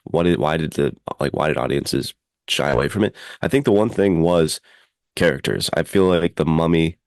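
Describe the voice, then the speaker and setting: A man's voice: rumbling low, normal speed, gravelly, a little mellow, no echo, clean studio sound. A man chatting casually and enthusiastically as a podcast co-host, rambling informally through his opinions. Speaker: podcast co-host and adventure fiction writer.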